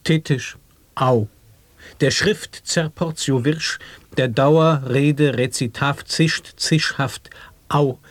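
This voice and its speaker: Slow man reciting sound poetry. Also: medium pitch, no echo. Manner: slow, deliberate, syllable-by-syllable delivery. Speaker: radio play performer. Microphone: studio microphone.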